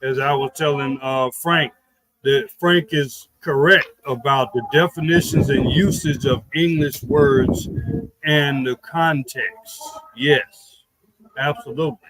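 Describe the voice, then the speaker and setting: A man's voice: medium pitch, steady tone, slow, fairly bright tone, poor audio. A man speaking as a guest on a live online interview, blunt and emphatic. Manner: blunt, emphatic, plain-spoken.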